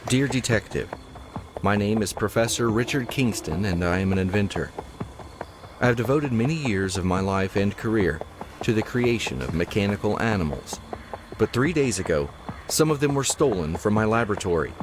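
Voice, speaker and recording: A man's voice: lowish voice, expressive, normal speed, background noise, lightly acted. An actor playing a formal, earnest male professor and inventor in a video game, with theatrical delivery. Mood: formal, worried. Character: distressed male professor and inventor of mechanical animals. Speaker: game character. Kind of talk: scripted video game voice acting.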